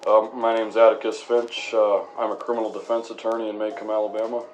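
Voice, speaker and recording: A man playing a measured, principled lawyer and stern father in an acted radio interview, formal in his speech. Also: fairly low pitch, little pitch movement, soft background music, natural voice, rough recording. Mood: measured, earnest. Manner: measured, formal, gently admonishing.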